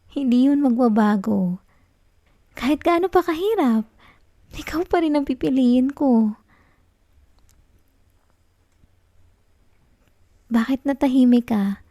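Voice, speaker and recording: Woman playing a clingy young filipino gf in an asmr roleplay, soft n pleading, bit of fry, super dry close sound. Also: high-pitched, no echo. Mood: pleading, devoted. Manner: soft, coaxing, halting, affectionate. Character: clingy, tender young student girlfriend, anxious and pleading. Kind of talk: intimate ASMR roleplay addressed to the listener.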